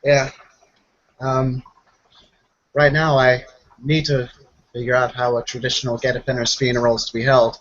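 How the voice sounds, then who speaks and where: Mid-pitched man roleplaying, dull audio. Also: little pitch movement, normal speed, pauses often, fairly bright tone. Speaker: tabletop roleplay player. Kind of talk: casual in-character roleplay.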